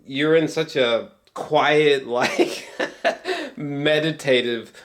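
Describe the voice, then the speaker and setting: A man's voice: on the high side, fairly even intonation, a bit bright. A man chatting casually as an amateur film reviewer, geeky and gushing, swearing freely and often stumbling back over his words. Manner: halting, repeats himself, often breaks into chuckles.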